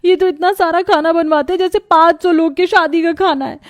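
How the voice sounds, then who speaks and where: A woman's voice: extremely high pitch, steady tone, a little fast, no echo, studio quality. A woman voicing a young, overworked, exasperated daughter-in-law in a cartoon story, with a dramatic complaining manner. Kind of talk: Hindi cartoon story voice acting.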